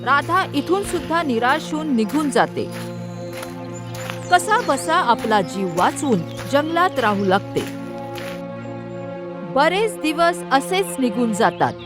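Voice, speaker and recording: A woman narrating an animated fable in a scripted storytelling style. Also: high voice, takes it slowly, pauses often, over music.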